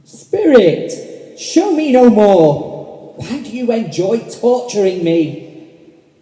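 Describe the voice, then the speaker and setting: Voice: mid-range voice, very animated, drawn-out words, warm tone. A performer playing a troubled old male miser in an amateur radio play, pleading and emotional. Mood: anguished pleading. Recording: echoey hall with noticeable reverb.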